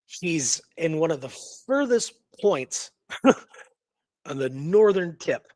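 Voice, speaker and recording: A man with a slightly high voice co-hosts a conversational history show. He muses aloud, throwing out questions and small tags for agreement. The sound is very dry, with no room to it. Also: big pitch swings, very slow, darkish tone, no echo. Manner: musing, poses rhetorical questions and seeks agreement with tags. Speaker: co-host of a history radio show. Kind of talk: casual conversational discussion of a historical mystery.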